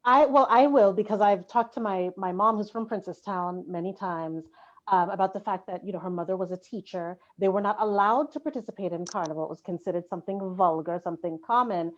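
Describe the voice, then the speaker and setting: A woman's voice: mid-range voice, a little fast. A woman speaking as a panelist in a live discussion, in an articulate, analytical way. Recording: dull lo-fi audio.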